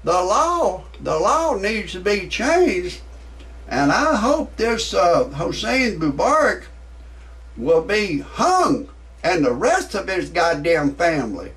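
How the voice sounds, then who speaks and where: A cussing, blustering man in his sixties with a drawl, delivering a comic rant. His voice sits high for a man. He sounds some distance from the mic. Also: huge pitch swings, slow, a bit bright. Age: 60s. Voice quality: profane, blustering. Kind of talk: ranting stand-up monologue.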